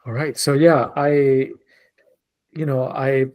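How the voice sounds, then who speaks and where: Spanish-born guy in his 40s, podcast guest, thinks out loud w lots of um and like, medium-pitched voice. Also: steady tone, takes it slowly, dull audio. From Spain. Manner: reflective, rambling, many fillers and restarts. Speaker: guest, designer and creative director. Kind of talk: casual podcast conversation.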